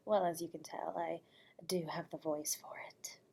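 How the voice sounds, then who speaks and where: A woman's voice: lowish voice, steady tone, measured pace, full character voice. A woman playing a whispery undead horror host. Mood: silky, teasing. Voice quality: soft, whispery and breathy. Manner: courteous and teasing, chuckling often. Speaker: late-night horror radio host. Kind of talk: audio roleplay addressing the listener.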